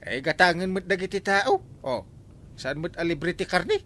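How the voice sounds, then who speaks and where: A man playing a teasing, excitable village captain in a comedic Ilocano radio drama, with an Ilocano accent and lots of exclaiming. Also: high voice, moderate pace, a little hiss or hum, full character voice. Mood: teasing, amused. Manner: animated, given to exclaiming and chuckling.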